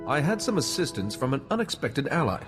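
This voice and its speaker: Principled sci-fi game hero, played by a man: composed, measured, mid-range, scored with music. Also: mid-range voice, big pitch swings, lightly acted. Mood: earnest. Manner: measured, earnest. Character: calm, principled young male Jedi hero. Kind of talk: video game cutscene acting.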